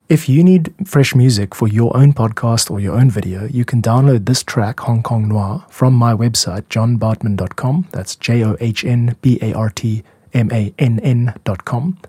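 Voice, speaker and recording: A man's voice: lowish voice, warm tone, breathy edge, no room sound. A man hosting a podcast as a music producer, talking in an explanatory narration style.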